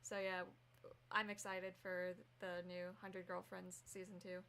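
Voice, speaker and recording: A woman's voice: fairly low pitch, level tone, unhurried, sharp tone. A woman streamer chatting casually and reacting on a livestream, speaking off the cuff. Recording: faint background noise under voice.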